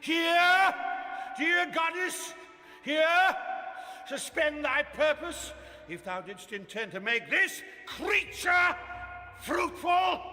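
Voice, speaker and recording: A man's voice: extremely high pitch, expressive, slow, bright, forceful tone, heavily acted. An actor playing a proud, aged king in classical Shakespearean radio drama, theatrical and declamatory, swinging from murmur to roar. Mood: cursing, wrathful. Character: proud, aged king raging at his daughter. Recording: reverberant hall-like radio drama sound.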